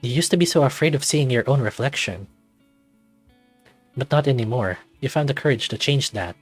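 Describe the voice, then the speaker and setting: A man's voice: medium pitch, expressive, normal speed, no echo, soft background music, close to an everyday voice. A man voicing an anxious, self-doubting man in his thirties, narrating a visual novel introspectively in the first person. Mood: self-encouraging. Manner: introspective, confessional, dramatic reading.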